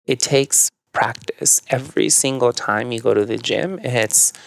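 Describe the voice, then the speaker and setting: A young man from northern Mexico, a native Spanish speaker, talks through his story in a relaxed, reflective manner, often pausing on "um" and "like." His voice has a gravelly, fried edge. The sound is dry and close, with no room around it. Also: mid-range voice, no room sound. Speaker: podcast guest, yoga teacher. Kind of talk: casual conversational storytelling.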